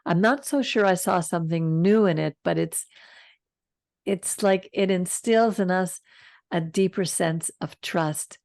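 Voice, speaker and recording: A low-voiced older woman reads scripture aloud on a video call, slow and unhurried, stopping now and then to comment. Her voice has a gravelly edge. The sound is close and dry. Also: no room sound. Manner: slow, calm reading with side comments. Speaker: Torah study host. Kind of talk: reading scripture and teaching.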